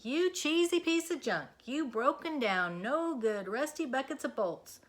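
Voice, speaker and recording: A sensible storybook cow with an excitable streak, acted out by a woman reading aloud. She sounds high and exclaiming, drawing out her protests slowly. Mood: scolding. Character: sensible, doubtful cow, excitable female best friend. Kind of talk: children's storybook read-aloud.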